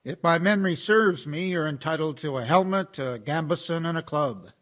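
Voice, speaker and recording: Dry, sardonic medieval quartermaster played by a man, higher-voiced. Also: normal speed, a bit bright, lightly acted. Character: sarcastic, dismissive medieval quartermaster, male. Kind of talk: video game dialogue acting.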